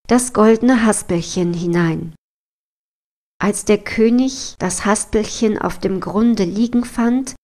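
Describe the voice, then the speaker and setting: A woman storyteller narrating a scripted fairy tale. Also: mid-range voice.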